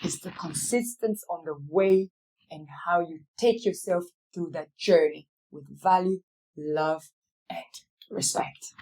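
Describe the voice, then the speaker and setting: A woman's voice: lowish voice, a little slow, mellow. Emphatic woman from Africa, motivational interview guest. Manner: emphatic, repeats key phrases for weight. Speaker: interview guest, real estate company CEO.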